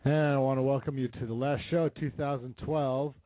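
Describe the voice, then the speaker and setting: A man's voice: medium pitch, normal speed, no echo, faint music behind. Dry-sounding male radio host. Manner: relaxed, friendly host patter with fillers. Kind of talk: radio interview. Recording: narrow lo-fi broadcast sound.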